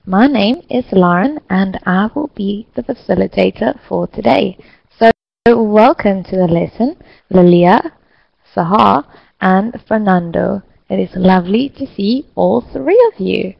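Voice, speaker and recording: A woman facilitating an online English lesson, speaking slowly and clearly to encourage her students. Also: medium pitch, no echo, lo-fi sound. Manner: slow, clear, encouraging teacher talk. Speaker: English teacher hosting an online class.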